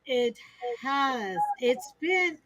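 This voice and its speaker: A woman's voice: high voice, animated, extremely slow, fairly bright tone, no echo. A woman speaking as an expert guest on a business livestream, upbeat and explanatory, laughing easily as she gives examples over a video call. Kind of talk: conversational business talk.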